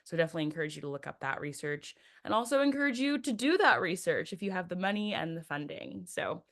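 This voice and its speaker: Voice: medium pitch, sing-song, quick pace, vocal fry, fairly bright tone. A researcher presenting findings in a webinar, explaining steadily with frequent ums. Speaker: researcher panelist.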